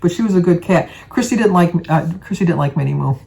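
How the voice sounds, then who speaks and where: A woman's voice: fairly low pitch, lively intonation, fast, vocal fry, mellow. A woman, chatting casually to her viewers and rambling through everyday stories. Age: 70s. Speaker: vlogger.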